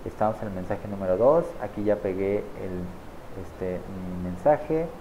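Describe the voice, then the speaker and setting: A man in his thirties casually walking viewers through a software setup on screen, checking in with them often as he clicks along. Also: low-pitched, expressive, a little slow, dull audio, slight background noise. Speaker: online marketing tutor. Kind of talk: screen-share software tutorial. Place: small indoor room at a desk.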